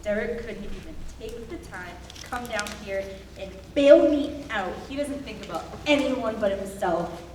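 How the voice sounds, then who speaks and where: A fretful, frightened woman newly behind bars, acted by a woman on stage, with a fairly high voice. She sounds distant from the mic in an echoey room. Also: lively intonation, normal speed, darkish tone, a little hiss or hum, close to an everyday voice. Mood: anxious. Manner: anxious, fretful. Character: frightened, restless mother, new to prison. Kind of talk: live staged reading of a musical.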